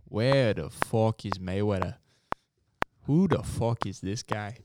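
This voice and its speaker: Young dude chatting casually, slangy and jokey, really noisy recording with clicks. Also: male voice, fairly low pitch, takes it slowly. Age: young adult. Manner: slangy, joking. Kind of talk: casual chat.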